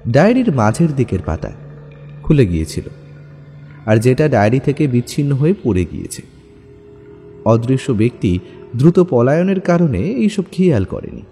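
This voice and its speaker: A man's voice: mid-range voice, very animated, normal speed, long pauses, warm tone, in a large hall, faint music behind. A man narrating a scripted detective story in a dramatic style.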